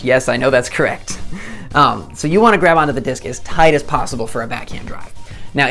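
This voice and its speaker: A young man in his twenties with a hoarse voice after a day of shouting explains technique to camera in a chatty, self-deprecating way. He often stumbles and restarts, his pitch sitting mid-range, and faint music plays behind him. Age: 20s. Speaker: disc golf instructor. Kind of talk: casual tutorial to camera.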